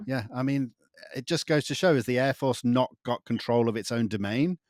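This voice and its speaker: A man's voice: mid-range voice, normal speed, a little mellow. A man hosting a podcast interview in a conversational, agreeable way, affirming what is said and starting some thoughts hesitantly. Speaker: podcast host.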